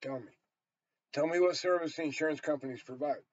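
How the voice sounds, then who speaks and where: High-pitched older American man, blunt. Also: brisk, no echo. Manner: blunt, heavily profane, emphatic. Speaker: storyteller and vlogger. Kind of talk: rambling opinionated monologue to camera. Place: small indoor room. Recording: dull, lo-fi webcam sound.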